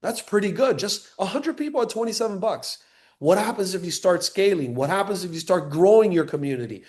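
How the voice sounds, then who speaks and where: Upbeat high-voiced male pitch presenter. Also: expressive, fairly quick, darkish tone. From Cuba, raised in Miami. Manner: enthusiastic, hyping, teasing listeners by name. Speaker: presenter on a live call.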